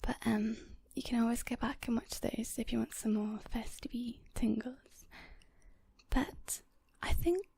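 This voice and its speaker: A woman whispers casually to her viewers as an ASMR host. Her voice is extremely breathy and husky, with some crackle in it. She rambles gently and without hurry. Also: fairly high pitch, steady tone, measured pace. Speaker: ASMR video creator and host. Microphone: portable handheld audio recorder. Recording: close whispered recording on portable recorder.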